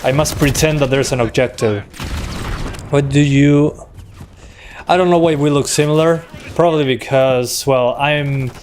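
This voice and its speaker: A young man talks in a relaxed, chatty way as he streams a shooter from his home setup. His voice sits in an ordinary middle range. Faint gunfire from the game runs underneath. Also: mid-range voice, unhurried, a little hiss or hum. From Uruguay. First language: Spanish. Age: 20s. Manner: relaxed, chatty, answers chat between fights. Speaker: tech streamer. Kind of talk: casual live-stream commentary while gaming. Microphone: desktop USB microphone.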